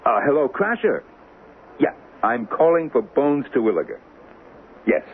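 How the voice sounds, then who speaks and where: An actor playing the Devil as a smug, suave, grandly self-assured swindler in an old-time radio drama. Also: male voice, mid-range voice, big pitch swings, unhurried, slightly rough, full character voice. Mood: confident, sly. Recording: noisy, tinny old radio broadcast recording.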